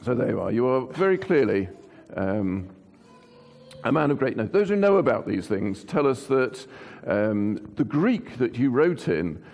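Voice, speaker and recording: A man's voice: medium pitch, big pitch swings, measured pace, vocal fry, lo-fi sound, faint music behind. A jovial man interviewing in a playful, wordy way, often repeating himself, as if before an audience. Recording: echoey hall with long reverb.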